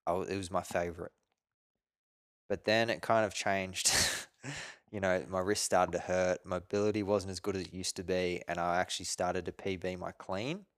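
A man's voice: low-pitched, steady tone, moderate pace, clear, resonant tone, dry recording, studio quality. A man hosting a podcast, chatting informally and asking short, curious follow-up questions. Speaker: podcast host. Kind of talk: casual interview chat.

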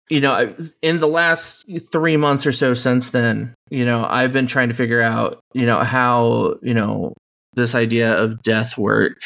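A calm man speaks unhurriedly, with a gravelly edge to his mid-pitched voice. He is a podcast guest in relaxed conversation, and he leans on fillers as he goes. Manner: slow, calm, with many you-knows. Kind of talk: casual conversation.

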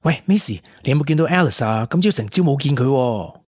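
A man's voice: medium pitch, animated, brisk, a little mellow, a little hiss or hum, lightly acted. An actor with a Hong Kong Cantonese accent playing a caring, playful young man in a radio drama, with a coaxing, colloquial manner. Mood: puzzled, concerned. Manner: coaxing, colloquial, with English interjections. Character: caring, playful, persistent young man wooing a grieving colleague.

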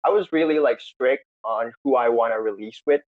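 A man hosts a podcast over a remote call, talking excitedly with lots of ums and restarts. His voice sits at a middle pitch. The sound is a bit muffled and lo-fi. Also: a little fast. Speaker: podcast host. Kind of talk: casual chat. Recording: slightly dull lo-fi sound.

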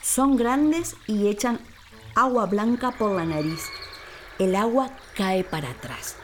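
A woman voicing a wise, calm old male caiman in a storybook radio play. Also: medium pitch, slow, slight background noise. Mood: knowing.

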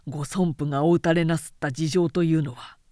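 A woman's voice: deep voice, steady tone, fairly quick, mellow, no echo, clean studio sound, pitched below the performer's own voice, close to an everyday voice. A woman voicing an earnest, firm samurai in an audiobook, speaking formally and insistently. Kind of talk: audiobook character dialogue.

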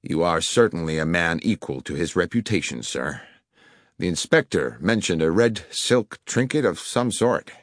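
A man voicing a smooth, wealthy gentleman with a polished, wary manner. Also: sits low, animated, normal speed, no room sound, clean studio sound.

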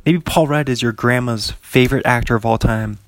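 A man's voice: medium pitch, fairly quick, dry recording, clean studio sound. Rambling, chuckling male podcast host. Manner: rambling, chuckling, heavy use of "like". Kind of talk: casual solo podcast monologue.

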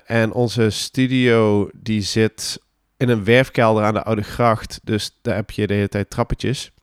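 Low, dry-recorded Dutch man. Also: dry recording. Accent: Netherlands Dutch. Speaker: interviewed band organist. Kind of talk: casual explanatory interview talk.

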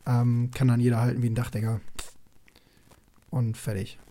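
A man's voice: medium pitch, monotone, normal speed, dark tone. A young man co-hosts a podcast in casual, slangy banter with a wry, teasing manner. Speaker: podcast co-host.

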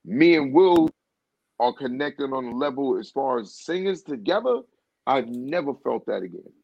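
A man in a casual interview rambles through a story, circling back and restarting his sentences as he goes. His voice sits at a middling pitch. It comes through a muffled, dry recording with little sense of a room. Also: expressive, normal speed, vocal fry, dry recording. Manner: rambling storyteller with frequent "you know what I'm saying". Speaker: guest singer.

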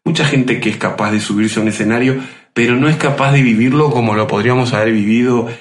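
A man's voice: medium pitch, fast. A man with a Uruguayan accent interviewing a younger guest on the radio, talking in a joking, nostalgic way. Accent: Uruguayan Spanish. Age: older than guest. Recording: roomy radio sound.

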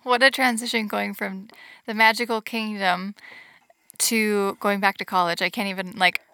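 Female podcast host, crisp bright tone with a gravelly edge, encouraging; dry room. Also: medium pitch, level tone, no echo. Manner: encouraging, quick reactions, laughs often. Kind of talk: casual interview chat.

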